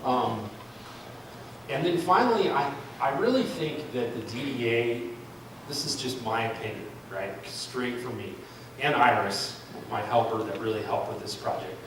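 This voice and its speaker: A man's voice: mid-range voice, expressive, measured pace. A man presenting a market analysis at a public forum, speaking off the cuff with many ums and restarts. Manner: thinking aloud, frequent ums and restarts. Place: large room at a public forum. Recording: echoey hall, far from mic, noisy.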